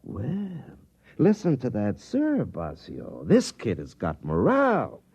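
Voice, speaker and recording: An actor playing a cynical, hard-drinking veteran army captain in a 1950s radio drama, sardonic and mocking. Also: male voice, fairly low pitch, wildly swooping pitch, slow, darkish tone, husky, exaggerated voice. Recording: muffled vintage 1950s radio, faint music.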